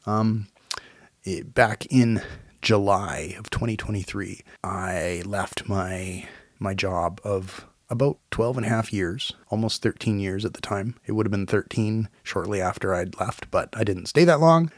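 A man in his forties, hoarse and nasal from a cold, talking in a rambling, self-deprecating way as he hosts a casual solo podcast. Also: fairly low pitch, measured pace, no room sound. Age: 40s. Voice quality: raspy, nasal. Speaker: podcast host. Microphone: home recording mic.

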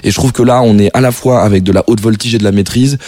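A man's voice: fairly low pitch, steady tone, fast talker, dark tone. A man visiting a radio studio as a guest, chatting with enthusiasm and slang. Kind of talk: casual radio chat.

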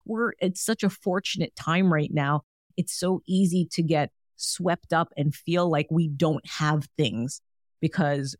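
A woman's voice: low-pitched, no echo, studio quality. A woman hosting a solo wellness podcast, talking in a chatty, encouraging way with frequent fillers. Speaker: podcast host.

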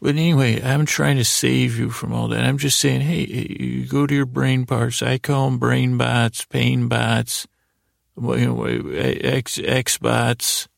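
A man hosting a bedtime podcast in a gravelly voice, with a meandering, halting, self-deprecating monologue. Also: medium pitch, little pitch movement, no room sound, clean studio sound. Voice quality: very creaky, gravelly. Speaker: podcast host.

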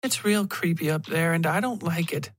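Guy doing a little girl in a horror tale, higher voice, casual and a bit uneasy. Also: moderate pace, husky. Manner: childlike, casual phrasing. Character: young girl, plainspoken, uneasy daughter in horror story.

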